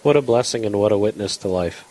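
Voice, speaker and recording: A man's voice: lowish voice, lively intonation, fast talker, a little mellow, rough recording. Rambling male radio host. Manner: rambling, filler-heavy, friendly. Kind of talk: live radio talk show. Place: coffee shop.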